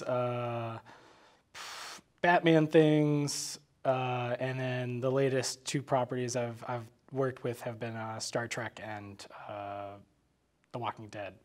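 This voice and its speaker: A man's voice: medium pitch, fairly even intonation, slow, darkish tone. A man chatting casually about a film as a guest, explaining things in a loose, rambling way. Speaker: podcast guest, graphic designer.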